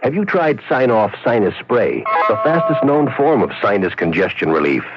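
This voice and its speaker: An actor playing a confident medicine pitchman, reading a scripted 1970s radio ad with emphatic delivery. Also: very animated, normal speed. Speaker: commercial announcer. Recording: dull 1970s radio recording.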